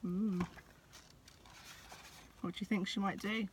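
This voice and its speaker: A woman reading a children's picture book like a teacher, expressive, with dramatic pauses, over background noise. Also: mid-range voice. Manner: dramatic pauses, addresses young listeners.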